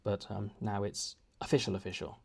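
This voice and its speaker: A man's voice: fairly low pitch, level tone, brisk, gravelly, darkish tone, breathy edge. A young man chatting at home in a casual vlog, rambling and self-deprecating with many restarts. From United Kingdom. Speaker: vlogger. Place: home, indoors.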